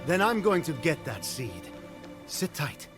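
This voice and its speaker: An actor playing an earnest, plucky young hero in a video game, sincere, dry and determined. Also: male voice, on the high side, very animated, a little slow. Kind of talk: animated video game cutscene acting. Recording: music clearly behind.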